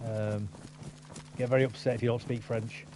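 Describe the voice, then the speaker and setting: A man's voice: medium pitch, flat delivery, normal speed, background noise. A man chatting casually with a friend while gaming, rambling and opinionated with many restarts. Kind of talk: casual chat over gameplay.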